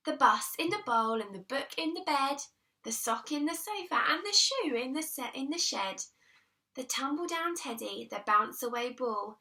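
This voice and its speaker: A woman reading a children's picture book aloud in an expressive, deliberate storytelling manner, leaning on the rhymes. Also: high-pitched, recorded from a distance. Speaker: primary school teacher reading aloud.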